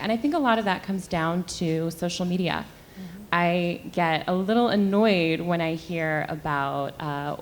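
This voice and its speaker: Candid young woman, lowish voice. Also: a little hiss or hum. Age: young adult. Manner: candid, conversational, frequent fillers. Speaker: panelist, sex and relationship editor. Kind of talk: panel discussion remarks. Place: luncheon event hall.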